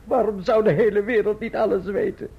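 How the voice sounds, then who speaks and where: Faltering, creaky broken old gentleman. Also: high voice, steady tone. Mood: bitter. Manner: halting, stammering. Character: broken, weak-willed older gentleman, anguished father. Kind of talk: radio play acting. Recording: muffled old radio play recording.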